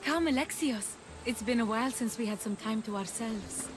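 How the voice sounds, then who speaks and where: Higher-voiced, teasing young mother played by a woman. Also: moderate pace, noisy background, natural voice. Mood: light, fond. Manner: wry, gently teasing. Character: teasing, affectionate wife and young mother in ancient Greece. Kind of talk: dramatic video game acting.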